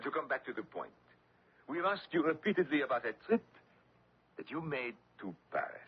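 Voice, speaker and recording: An actor playing a menacing inquisitor with mock courtesy and a pompous, insinuating manner in a radio drama. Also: male voice, mid-range voice, very animated, moderate pace, husky. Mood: coldly insinuating. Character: sly, menacing male inquisitor with mocking courtesy. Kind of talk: old-time radio drama acting. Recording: dull, muffled old radio recording.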